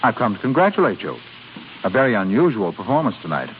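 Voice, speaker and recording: Suave, teasing suitor, lively swooping delivery. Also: male voice, on the low side, fast. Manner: smooth, playful, romantic. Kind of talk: 1930s radio drama acting. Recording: muffled, hissy 1930s radio broadcast.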